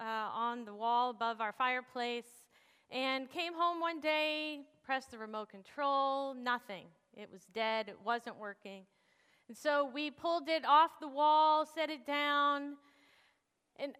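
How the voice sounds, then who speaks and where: A woman preaching a storytelling sermon in a church, animated, laughing often and acting out dialogue. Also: fairly high pitch, clean tone. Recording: long reverb of a large hall.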